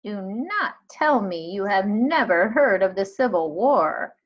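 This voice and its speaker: Woman reading as slow, dramatic elderly lady librarian. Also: medium pitch, lively intonation. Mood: shocked, emphatic. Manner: slow, drawn-out, emphatic phrasing. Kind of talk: storybook read-aloud. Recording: dull lo-fi recording.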